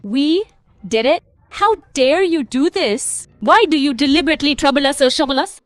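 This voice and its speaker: A woman's voice: high voice, expressive, normal speed, no echo. A woman playing an aggrieved young daughter-in-law in a family cartoon, speaking emphatically and reproachfully. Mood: indignant. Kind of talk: dramatic cartoon story dialogue.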